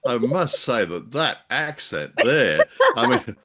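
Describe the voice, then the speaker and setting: A man's voice: mid-range voice, very animated, takes it slowly, pushed and bright. An older man hosting a radio show in casual review chat over a video call, rambling and hesitant with wry jokes. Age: older, around 70.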